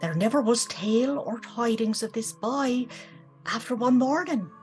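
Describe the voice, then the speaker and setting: A woman's voice: on the high side, unhurried, a little mellow, soft background music, close to an everyday voice. A woman playing a secretive older servant telling tales in rustic, old-fashioned phrases for a gothic audio drama. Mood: hushed storytelling. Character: knowing, gossiping older female servant, secretive storyteller.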